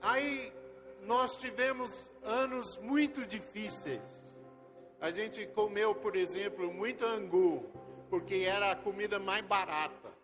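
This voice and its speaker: An older man, a missionary whose first language is not Portuguese, telling his story to a congregation in a slow, storytelling way. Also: sits high, fairly even intonation. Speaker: missionary leader. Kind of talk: testimony sermon to a congregation. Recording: long hall reverb with faint music.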